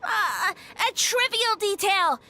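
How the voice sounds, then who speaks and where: A woman's voice: very high-pitched, sing-song, slow pace, sharp tone, a little hiss or hum, heavily acted. A woman voicing a pompous, touchy little mushroom deity in a video game, grand and haughty in manner. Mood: huffy, defensive. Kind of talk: video game character acting.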